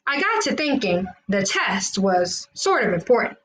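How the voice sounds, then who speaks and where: A woman's voice: medium pitch, very animated, a little slow, fairly bright tone, slight background noise. A woman reading a children's storybook aloud, narrating expressively in the words of a school kid. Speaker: children's read-aloud host.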